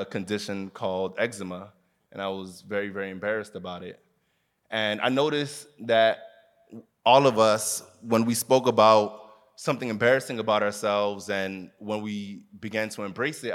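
A man from Miami moderating a live panel, earnest and conversational as he speaks to the audience. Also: on the low side. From Miami, USA.

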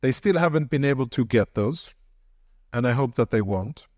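Man, measured halting answers, dry close sound. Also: medium pitch, lively intonation, darkish tone, no echo. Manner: measured, restarts and repeats words. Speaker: panel speaker on security. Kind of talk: answering audience questions.

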